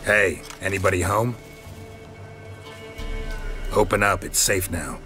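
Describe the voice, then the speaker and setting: An actor playing a stoic, blunt monster hunter in a video game, speaking in terse, deadpan, clipped sentences. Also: male voice, low-pitched, slow, faint music behind, full character voice. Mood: dry, businesslike. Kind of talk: video game cutscene acting.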